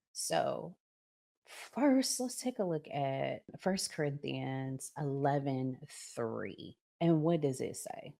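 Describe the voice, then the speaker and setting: An American woman hosting a show with casual video commentary, speaking emphatically and conversationally straight to her viewers. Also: deep voice. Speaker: host of a relationship reaction show.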